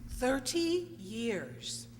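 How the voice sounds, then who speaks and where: Lady doing bitter older maid, high pitched, disapproving, radio play, echoey hall. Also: sing-song, drawn-out words, exaggerated voice. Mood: indignant. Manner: emphatic, disapproving. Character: resentful older female maid and companion, indignant. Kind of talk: radio drama acting. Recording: noisy, reverberant hall recording.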